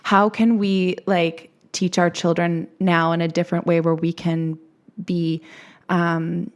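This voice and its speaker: A woman podcast host with a slightly low voice talks through a personal question in an easy, conversational way. She pauses and restarts as she searches for the right words. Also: flat delivery, smooth. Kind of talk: casual conversational interview.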